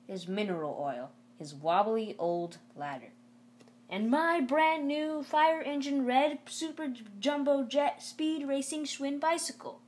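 A woman narrating a novel aloud in an expressive storybook read-aloud style. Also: sits high, unhurried.

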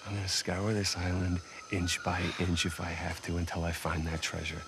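An American actor playing a stubborn, sardonic middle-aged treasure hunter and older brother in a video game, with a gravelly voice. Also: male voice, low voice, monotone, moderate pace, background noise. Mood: intense, defiant. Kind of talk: naturalistic cinematic game acting.

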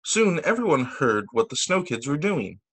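A man, a teacher, reading children's stories aloud over a video call in an expressive, sing-song storytelling way. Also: on the high side, normal speed, slightly rough. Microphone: video call microphone.

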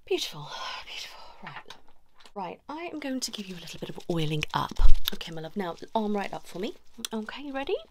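A woman doing an ASMR role-play as a chiropractor, coaxing a patient in soft talk and whispers, with short repeated reassurances. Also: mid-range voice, sing-song, fast. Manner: soothing, coaxing, talks directly to a patient. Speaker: ASMR performer playing a chiropractor. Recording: lo-fi, noisy, with clicking sounds.

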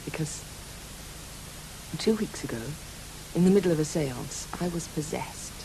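A woman's voice: deep voice, creaky. An actress playing a haunted, reclusive lady medium in a radio drama, grave and intense. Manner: grave, dramatic, deliberate phrasing. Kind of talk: studio radio drama acting. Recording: hissy background noise.

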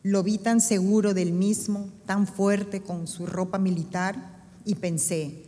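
A woman's voice: medium pitch, fairly even intonation, moderate pace, warm tone. A middle-aged woman from Peru with a Peruvian Spanish accent, reading her personal testimony earnestly on a church stage. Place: church stage before an audience. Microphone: stand microphone. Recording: long reverb of a large church hall.

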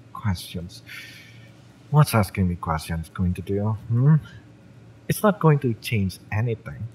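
A man's voice: on the low side, very animated, slow, long pauses, darkish tone, a little hiss or hum, rough recording. A man playing a fiery, bitter young mercenary in an audio roleplay, sardonic and taunting, with sighs and swearing. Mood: taunting, impatient. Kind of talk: romance audio roleplay acting, addressed to the listener.

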